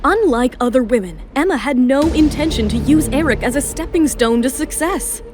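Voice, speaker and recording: Female narrator, high voice, dramatic scripted romance storytelling, music bed underneath. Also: animated, normal speed.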